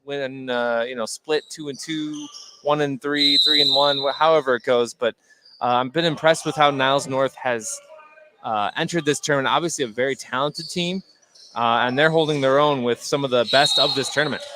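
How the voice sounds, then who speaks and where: An American man giving conversational basketball analysis, halting and repeating words as he goes. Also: medium pitch. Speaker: color commentator. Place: indoor basketball gym, courtside broadcast. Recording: faint gym noise behind.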